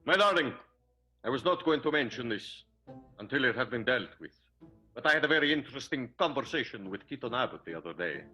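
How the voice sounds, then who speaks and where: A man performs a pompous husband from the 1920s film world in an improvised radio soap. The voice is bright and forceful, swinging widely in pitch through formal, drawn-out declarations of hurt dignity. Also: very animated, slightly rough, faint music behind, full character voice, low-quality recording. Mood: wounded, grandiose. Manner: grandiose, formal, drawn-out deliberate phrasing.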